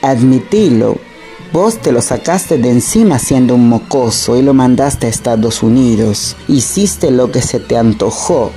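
A woman acts out a spiteful Argentine schemer in a radio soap spoof. She speaks slowly and deliberately, gloating and sneering at her twin with theatrical relish, over clear background music. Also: extremely low pitch, animated, mellow. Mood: accusing. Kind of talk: radio comedy melodrama acting.

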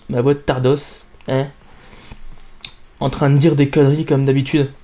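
A man's voice: medium pitch, little pitch movement, unhurried, mellow. A young man giving casual gameplay commentary in a playful, self-mocking way. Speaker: let's play gamer.

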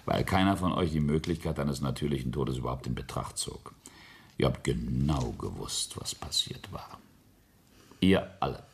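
An actor playing a mocking, menacing middle-aged industrialist in a radio play, speaking with sardonic deliberation. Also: male voice, a bass voice, gravelly, slight background noise. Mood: mocking accusation. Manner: sardonic, deliberate, with whispered drops. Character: menacing, mocking family patriarch and ambitious industrialist. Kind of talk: German radio play acting.

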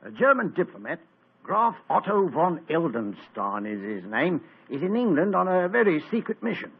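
An actor playing a plodding, folksy police inspector who rambles with homely sayings, on a tinny old radio broadcast. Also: male voice, on the high side, very animated, moderate pace, breathy edge, heavily acted. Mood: earnest.

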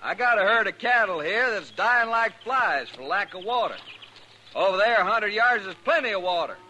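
An American actor playing a desperate, hot-tempered cattle trail boss in a 1950s radio western, blunt and folksy. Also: male voice, high voice, lively intonation, normal speed, bright, forceful tone, heavily acted. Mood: angry. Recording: noisy vintage 1950s radio recording.

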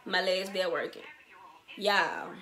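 A twenty-year-old woman from Memphis with a Southern accent, talking straight to camera in an animated, slangy way and repeating herself for emphasis. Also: fairly low pitch, a little slow. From Memphis, Tennessee. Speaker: vlogger, small business owner. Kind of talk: casual Q&A vlog to camera. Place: bedroom, sitting on a bed, indoors.

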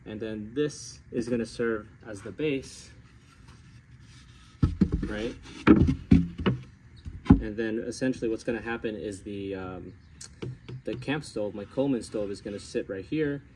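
A man's voice: lowish voice, measured pace, long pauses. A middle-aged man giving casual how-to narration outdoors, informally thinking aloud as he explains. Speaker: DIY vlogger. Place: outdoors in a residential driveway. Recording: clear background noise with clicks.

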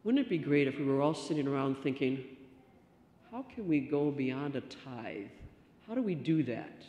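A middle-aged woman pastor giving a stewardship talk from the lectern, telling a story with lively gestures. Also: extremely low pitch, moderate pace, highs cut off. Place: church sanctuary. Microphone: stand mic at lectern. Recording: echoey church hall reverb.

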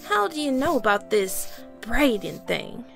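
Proud, sassy cartoon siren creature, female actor: highish voice with fry, animated delivery, music bed. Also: a little slow, heavily acted. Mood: curious. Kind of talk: animated comic dub acting.

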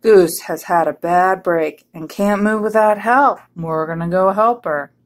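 Storybook walrus, anxious and moaning, unhurried, mournful played by a woman. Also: lowish voice. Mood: worried. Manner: slow, mournful.

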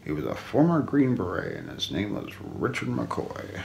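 A man in his forties doing an ASMR role play, narrating an unsolved mystery script in a hushed, deliberate way with trailing phrase ends. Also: deep voice, huge pitch swings, creaky. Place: small indoor room. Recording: faint background noise.

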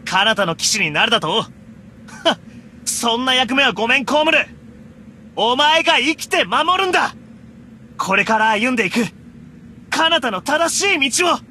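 Hot-blooded young knight, anime game, proud, stern, sharp, animated, urgent, declamatory. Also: male voice, very high voice, moderate pace, pauses often, a little hiss or hum, exaggerated voice. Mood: stern exhortation. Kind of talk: Japanese anime game voice acting.

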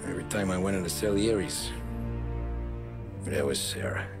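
An actor playing a cocky young American mobster from the 1930s in a crime drama game. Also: male voice, low voice, animated, normal speed, vocal fry, music in the background. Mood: wry, reflective. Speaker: video game character. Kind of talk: cinematic crime drama acting.

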